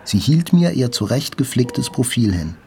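A man's voice: medium pitch, big pitch swings, normal speed, darkish tone. An actor playing a wounded journalist, narrating his hospital stay soberly and introspectively in an audio drama. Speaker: first-person narrator. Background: faint music.

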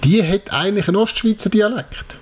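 A man's voice: high-pitched, a little fast, slightly rough. A Swiss German man in his forties, co-hosting a podcast in a wry, hesitant way. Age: 40s. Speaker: podcast co-host. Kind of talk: casual chat.

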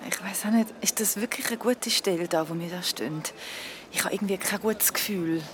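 A woman's voice: mid-range voice, fairly quick, a little hiss or hum, lightly acted. A young actress with a Swiss German accent playing an uneasy young woman, recounting her story in casual, slangy speech for a radio drama. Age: young adult. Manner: colloquial, youthful slang.

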